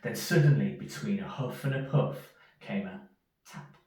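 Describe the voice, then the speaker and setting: A man reading a children's story aloud in an expressive storyteller's manner. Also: fairly low pitch, a little slow. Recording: echoey room, distant from mic.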